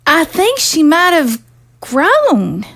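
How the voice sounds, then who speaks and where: A woman's voice: very high voice, huge pitch swings, drawn-out words, gravelly, fairly bright tone, full character voice. A woman playing an anxious undead mother. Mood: worried. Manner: hesitant, earnest, confiding. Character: undead first lady, worried and earnest mother. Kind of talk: amateur audio drama acting.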